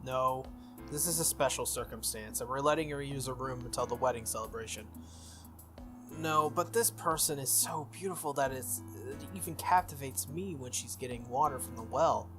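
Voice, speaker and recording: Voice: medium pitch, normal speed, music in the background, natural voice. A game player voicing an earnest, stern teenage boy who is student council president, formal and scolding. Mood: serious, troubled.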